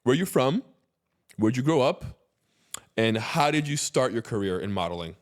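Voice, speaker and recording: A man's voice: medium pitch, sing-song, normal speed. A man hosting a podcast interview, upbeat and encouraging with short affirmations. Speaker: podcast host.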